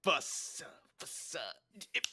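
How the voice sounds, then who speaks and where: A woman's voice: very slow, bright, forceful tone, full character voice. A woman playing a kindly, simple, timid male giant in an earnest table read. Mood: hesitant, playful.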